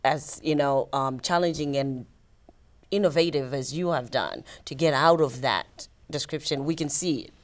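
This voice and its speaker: A woman with a Zimbabwean accent hosting a conversational interview, often pausing to rephrase as she goes. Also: rumbling low. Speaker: podcast host and interviewer.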